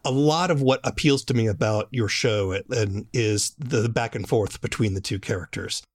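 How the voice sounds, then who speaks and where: A man's voice: on the low side, normal speed, slightly rough. A man interviewing a guest in a conversational style as a podcast host.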